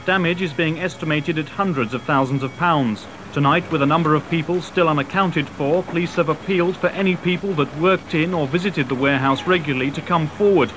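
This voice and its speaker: Male news reporter, slightly high voice, even delivery, noisy old broadcast audio. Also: a little fast, few pauses. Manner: even, matter-of-fact reporting. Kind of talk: scripted news voice-over. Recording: old TV news with background noise.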